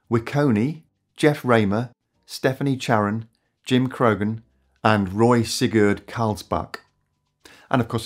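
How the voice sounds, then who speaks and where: A man in his fifties, hosting a scripted explainer to camera in a small home studio, measured and earnest. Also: fairly low pitch. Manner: measured, earnest and articulate, addressing viewers directly. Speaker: host of an educational climate and energy channel. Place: small home studio with shelves and lamps, indoors.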